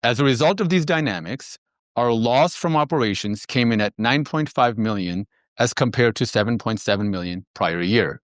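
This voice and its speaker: A man speaking as a finance executive on an earnings call, presenting in a measured, businesslike way. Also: medium pitch, animated, no echo, clean studio sound.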